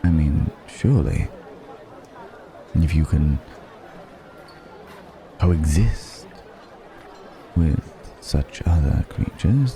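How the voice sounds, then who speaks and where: Male dragon in disguise, played by a man, suave and gently mocking, extremely low and dark, for intimate fantasy ASMR with musing pauses. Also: heavily acted. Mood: curious, probing. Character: suave, probing male dragon posing as a curious stranger. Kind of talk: ASMR roleplay, intimate dramatic monologue. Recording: background music and crowd murmur.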